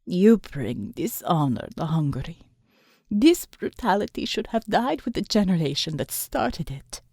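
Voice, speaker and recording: A woman voicing a defiant old woman who speaks slowly in a low, reproachful whisper. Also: sing-song, darkish tone, husky, no room sound, studio quality, full character voice. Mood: stern reproach. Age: older.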